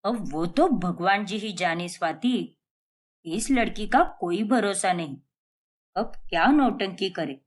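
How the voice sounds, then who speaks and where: A woman voicing a resigned elder sister-in-law in an animated storybook, grumbling in a weary way. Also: medium pitch, slow, pitched below the performer's own voice.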